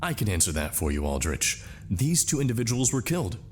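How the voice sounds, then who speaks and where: A man's voice: lowish voice, sing-song, fairly quick, slight background noise. A man acting a condescending, sarcastic lieutenant who questions people at a military hearing. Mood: sardonic, pressing. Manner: condescending, sardonic, interrogating. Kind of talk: screen drama acting.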